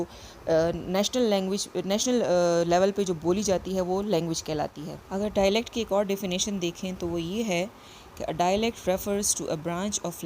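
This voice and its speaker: A woman teacher with a voice, explaining a lesson patiently and reading English definitions out slowly. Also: fairly low pitch, dark tone, a little hiss or hum. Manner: explains patiently, repeats points, reads English lines slowly.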